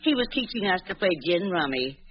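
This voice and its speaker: An actress playing a folksy, expressive old mother who is secretly a sly crook, in a 1940s radio drama. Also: lowish voice, brisk, slight background noise. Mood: folksy, sweet. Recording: muffled 1940s radio recording.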